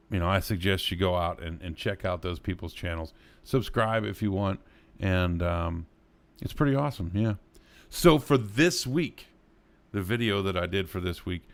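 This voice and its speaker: A man hosting a live music showcase stream, chatting casually and rambling with many fillers. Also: low voice.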